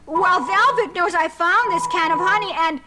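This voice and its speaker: A woman voicing an excitable, clumsy young male rabbit who stammers when flustered. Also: very high voice, moderate pace, heavy background noise, pitched above the performer's own voice. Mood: panicked. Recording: loud music underneath.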